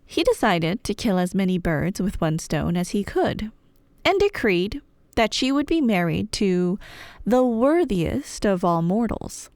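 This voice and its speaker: A woman's voice: mid-range voice, sing-song, unhurried, darkish tone, dry recording, studio quality. A woman playing a chatty, nerdy girlfriend, rambling through a story in a wry, irreverent, slangy way. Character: chatty, playful, nerdy girlfriend telling myths. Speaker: audio roleplay performer playing a girlfriend. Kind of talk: casual rambling storytelling, scripted ASMR roleplay.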